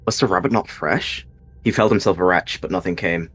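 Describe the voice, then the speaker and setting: A man's voice: sits low, brisk, fairly bright tone, faint music behind. A man narrating a dramatic roleplay story as a storyteller.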